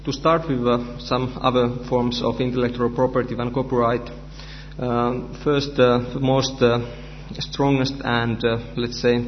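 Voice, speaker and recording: A Finnish-accented man, a native Finnish speaker, presents at a conference, halting and full of fillers and restarts, his voice staying flat in pitch. It is a dull recording with long reverb from a hall, and a faint hum sits underneath. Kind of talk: conference lecture.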